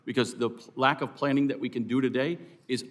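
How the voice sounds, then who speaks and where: A man with a medium-pitched voice gives formal testimony as an expert witness. His delivery is earnest and emphatic, addressed to officials in a hearing. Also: steady tone, brisk. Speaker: air traffic controllers' representative, hearing witness. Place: hearing room.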